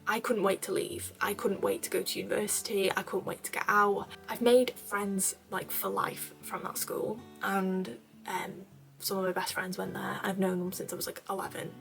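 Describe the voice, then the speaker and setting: A chatty young woman from North Wales talks through viewer questions in a relaxed, rambling way, full of little fillers. Her voice sits at an everyday middle pitch. Faint guitar music plays quietly behind her. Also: normal speed, creaky, rough recording. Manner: chatty, rambling, many fillers and soft laughs. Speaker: vlogger answering viewer questions. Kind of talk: casual chat. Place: bedroom at her parents' home.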